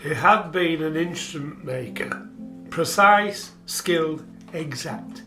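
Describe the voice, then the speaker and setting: An actor playing a sombre radio play narrator, telling the tale in a measured, emphatic way. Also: male voice, a little high, animated, full character voice. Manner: measured, emphatic, repeating phrases. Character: sombre, measured male narrator recounting a marriage's tragedy. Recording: roomy echo with guitar behind. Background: soft plucked guitar music.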